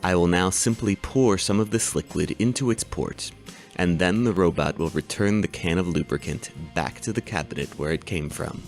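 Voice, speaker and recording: Deep-voiced professor, measured lecturing, faint music bed. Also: male voice. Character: calm adult male robotics professor. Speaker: doctor teaching a robotics class. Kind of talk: audiobook character reading.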